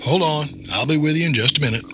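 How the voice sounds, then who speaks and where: An actor playing a sinister police officer posing as a line cook in an audio drama, unhurried, folksy and knowing. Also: male voice, fairly high pitch, pushed and bright, a little hiss or hum. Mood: casually knowing.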